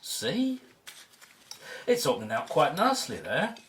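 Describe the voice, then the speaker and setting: A woman's voice: deep voice, huge pitch swings, measured pace, slight background noise, heavily acted. A woman playing an amiable, eager archaeologist in a radio drama. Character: amiable, eager junior archaeologist colleague named Ed.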